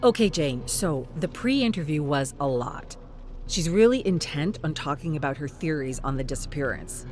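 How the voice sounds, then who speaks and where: A woman plays a male TV producer in a dramatic story, cautious and businesslike. He gives briefings with lively intonation over a music bed. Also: low voice, normal speed. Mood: cautioning. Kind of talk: dramatic audiobook character voice.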